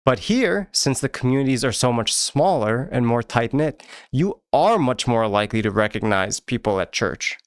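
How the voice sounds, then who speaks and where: A man's voice: mid-range voice, lively intonation, moderate pace, few pauses, clear, resonant tone, no echo. An American man hosting his own channel, giving candid, earnest commentary with touches of dry humor. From United States. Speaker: YouTube channel host. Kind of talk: personal commentary to camera.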